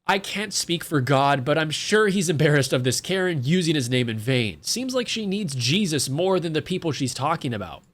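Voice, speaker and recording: A man's voice: a little high, expressive, moderate pace, few pauses, noisy background. A man narrating a compilation video with sarcastic, mocking commentary. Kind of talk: scripted commentary voice-over.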